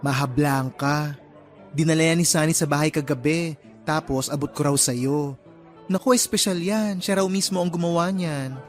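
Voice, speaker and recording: A man playing a chatty, teasing young friend in a radio drama, expressive and gossipy. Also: on the high side, normal speed, lightly acted. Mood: apologetic, friendly. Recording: music behind the voice.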